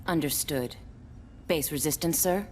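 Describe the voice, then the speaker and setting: A woman's voice: sits low, a little slow, slight background noise. An actress playing a serious, disciplined female soldier in a video game, clipped and formal. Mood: wary. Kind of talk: video game character acting.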